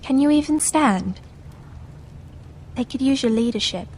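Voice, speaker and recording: A woman's voice: high voice, lively intonation, unhurried, a little mellow, a little hiss or hum. A woman playing an anxious, devoted teenage noble sister in a dramatic video game, speaking with earnest emotion. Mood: encouraging.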